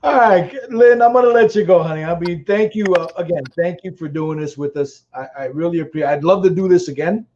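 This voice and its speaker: A man's voice: on the high side, fairly quick, hardly any pauses, darkish tone. A man with a Chicago accent hosting a casual livestream, rambling with stammered restarts. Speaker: livestream host. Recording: muffled lo-fi stream audio with faint noise.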